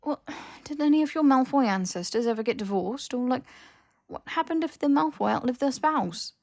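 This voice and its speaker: A woman's voice: high voice, animated, a little mellow, pitched above the performer's own voice. Woman as a brash, casual young man. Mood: puzzled questioning. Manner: casual, halting, sarcastic.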